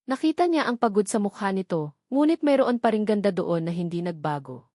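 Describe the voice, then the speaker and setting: A woman narrates a scripted love drama with measured, dramatic delivery. Her pitch sits in the middle of the usual female range, and the sound is very dry, with no room to it. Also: expressive, dark tone, dry recording.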